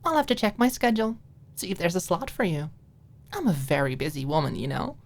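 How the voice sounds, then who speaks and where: A woman's voice: lowish voice, very animated, fairly quick, pitched above the performer's own voice. A woman voicing a playful, teasing young college woman in an audiobook, with casual, bantering delivery. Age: young adult.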